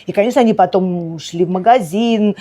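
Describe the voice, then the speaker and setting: A woman co-hosting a casual podcast and teaching, chatty and quick to agree with repeated yeses. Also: medium pitch, dark tone. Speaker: podcast co-host and Russian teacher.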